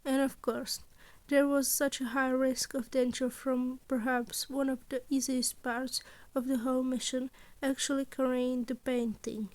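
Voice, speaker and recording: High-pitched woman narrating a story. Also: little pitch movement, a little slow, a little mellow. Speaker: podfic narrator.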